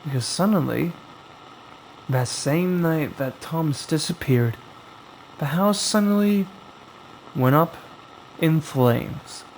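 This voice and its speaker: A man narrating a scripted horror story as its storyteller, in a dramatic, suspenseful way with long pauses. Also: a little high, lively intonation, a little hiss or hum, low-quality recording. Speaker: storyteller, host of a horror anthology.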